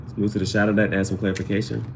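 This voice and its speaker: A man's voice: on the low side, level tone, very fast, gravelly. Man giving a tarot reading over noticeable noise. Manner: repeats phrases, slangy. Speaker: tarot reader, livestream host. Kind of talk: casual live tarot reading, talking to chat.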